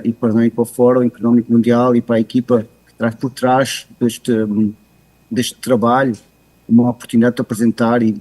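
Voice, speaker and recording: Man, European Portuguese accent, warm dark tone, video call, pausing often, measured expert talk. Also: medium pitch, poor audio. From continental Portugal. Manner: measured, hesitant, many pauses and fillers. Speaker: guest speaker, cybersecurity expert. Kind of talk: presentation talk.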